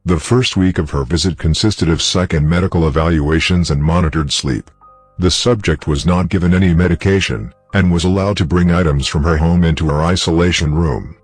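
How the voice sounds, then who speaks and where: A man's voice: deep voice, fairly quick, faint music behind. A man playing a formal, detached researcher reading out a clinical case log in a scripted horror story.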